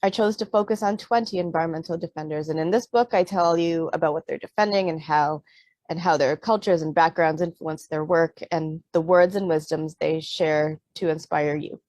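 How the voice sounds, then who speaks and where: A woman's voice: sits low, fairly even intonation, moderate pace, a little mellow, dry recording. A middle-aged woman from Canada, an author on a panel who talks with many fillers and restarts, then reads aloud from her book. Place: Vancouver.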